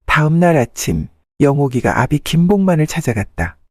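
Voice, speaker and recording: A man's voice: a little high, big pitch swings, moderate pace, a little mellow, a little hiss or hum. A man narrating a folktale from a script as the storyteller.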